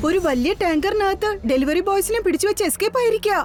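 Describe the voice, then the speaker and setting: A woman's voice: very high voice, lively intonation, quick pace. A woman voicing a brave, polite young boy superhero in a dubbed children's cartoon, earnest and commanding. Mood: determined.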